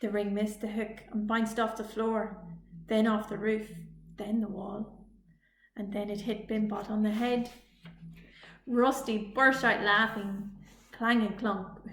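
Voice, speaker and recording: A woman reading a children's story aloud as a librarian, with expressive storytime narration. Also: mid-range voice. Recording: echoey room, faint noise.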